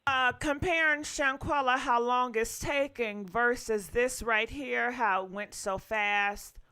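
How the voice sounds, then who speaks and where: A woman's voice: fairly high pitch, takes it slowly, bright, forceful tone, clear, resonant tone. A woman hosting a casual call-in show, chatty with many fillers as she prompts her callers. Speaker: host.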